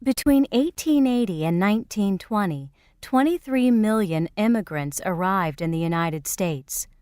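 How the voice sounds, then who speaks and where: Woman reading slowly and carefully. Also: medium pitch, lively intonation, darkish tone, smooth, dry recording, clean studio sound. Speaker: textbook reader. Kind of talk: reading example sentences and a passage. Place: recording studio.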